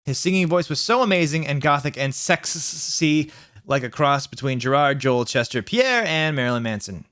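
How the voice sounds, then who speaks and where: A man's voice: on the high side, animated, moderate pace, a bit bright, no echo, clean studio sound. A man hosting a comic dramatic reading of fan fiction, mock-earnest and sarcastic in his asides.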